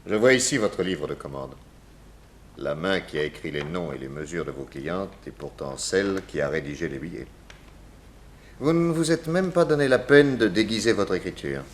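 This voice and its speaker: Low-voiced, methodical detective. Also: male voice, expressive, a little hiss or hum. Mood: probing. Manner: measured, pointed questioning. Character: methodical, probing detective questioning a suspect. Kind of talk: 1960s French radio drama acting.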